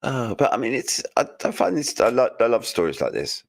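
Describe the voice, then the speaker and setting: A man's voice: mid-range voice, very animated, a little fast. A man co-hosting a podcast, talking in a hesitant, musing way in casual chat. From United Kingdom. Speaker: podcast co-host.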